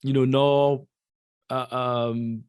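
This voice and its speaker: A man in his forties from France with a French accent, a podcast guest who talks in a halting way with many fillers and restarts. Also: medium pitch, flat delivery, a little mellow, clean tone, no echo. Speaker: guest investor. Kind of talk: casual interview.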